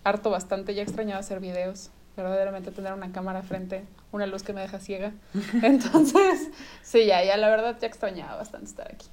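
A woman's voice: medium pitch, fairly quick. Chatty young Mexican woman telling stories. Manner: animated storytelling with Mexican slang. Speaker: guest. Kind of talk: casual podcast chat. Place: studio in front of a camera and light.

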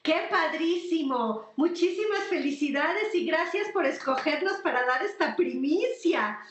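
A woman hosting an interview, eager and quick with her questions. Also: sits high, expressive, echoey room, a little hiss or hum. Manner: enthusiastic, asks questions. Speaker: interviewer host.

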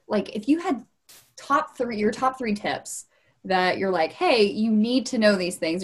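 A woman hosting a casual interview podcast, friendly and quick to laugh along. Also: medium pitch. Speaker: podcast host.

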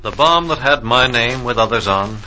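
An actor playing a young army private killed in war, plainspoken and reflective, in a 1940s radio drama. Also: male voice, mid-range voice, animated, moderate pace, pushed and bright, low-quality recording. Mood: wistful, reflective. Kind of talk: 1940s radio drama acting, verse monologue. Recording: vintage 1940s radio, music behind.

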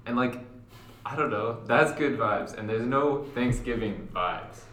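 A man's voice: medium pitch, a little slow, fairly bright tone, a little hiss or hum. A young man co-hosting a casual podcast, chatting informally with slangy phrasing. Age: young adult. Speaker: podcast co-host. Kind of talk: casual chat. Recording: echoey room.

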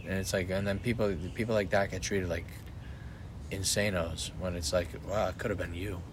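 A man with a low voice rambles through a loose podcast chat, swearing and circling back over his own sentences. A steady hiss of background noise runs under him. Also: moderate pace. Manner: rambling, profane, restarts often. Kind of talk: casual chat.

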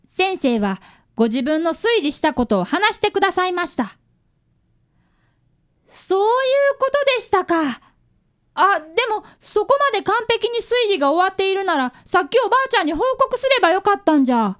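A woman's voice: extremely high pitch, big pitch swings, normal speed, fairly bright tone, exaggerated voice. A woman acting an earnest, polite younger woman in a radio drama. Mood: curious. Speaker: amateur radio drama actor.

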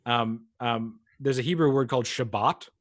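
A man in his early thirties chats casually and reflectively, leaning on fillers as he thinks aloud. His pitch sits in an ordinary middle range. The sound is close and dry. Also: normal speed, no echo. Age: 30s. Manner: reflective, faith-minded, many fillers. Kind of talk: casual chat.

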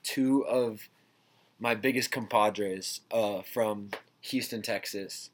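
A man's voice: fairly low pitch, fairly even intonation, slow, a little hiss or hum. Teen boy, podcast host, loose casual chat, stumbles over words. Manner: laid-back, repeats and restarts words. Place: indoors, ski trip lodging.

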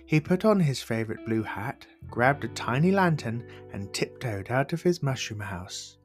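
A man's voice: mid-range voice, lively intonation, moderate pace, soft background music. A man narrating children's bedtime stories from a script as the storyteller.

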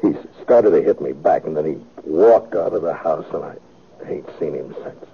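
A man playing an anguished middle-aged father with a rural American accent in a 1950s radio western, halting and folksy. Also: a bass voice, creaky. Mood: halting, guilty. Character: anguished, protective old-West father, plain country man. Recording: dull, noisy old radio recording.

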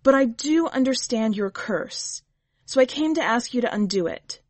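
Woman voicing a tearful, halting young wife. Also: on the high side, expressive, dry recording, voice pushed up. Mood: defiant. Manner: emotional, halting, raised voice.

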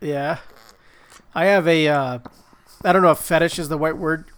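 A man's voice: fairly high pitch, steady tone, moderate pace, fairly bright tone, clear, resonant tone. Stuffed-up man, rambling and profane. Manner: rambling, profane, self-mocking. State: stuffed-up nose from a cold. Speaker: podcast co-host. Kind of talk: casual chat.

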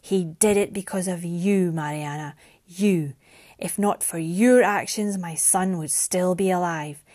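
A woman voicing an enraged, grieving father in a storybook, growling and booming his accusations. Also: unhurried. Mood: anguished, furious. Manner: forceful, accusing.

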